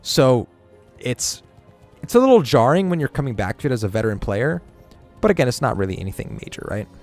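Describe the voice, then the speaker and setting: A man's voice: medium pitch, big pitch swings, fairly quick, a little mellow, faint music behind. A man streaming a game, chatting casually and giving rambling, opinionated takes with plenty of swearing. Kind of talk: casual gaming stream chat.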